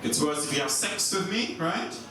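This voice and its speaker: A young Swiss man with a German accent, doing a stand-up set in a comedy club with a dry, deadpan delivery. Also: normal speed, far from the mic, faint music behind. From Switzerland. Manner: dry, deadpan delivery with lively swings in pitch. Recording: echoey club recorded from afar, audience noise.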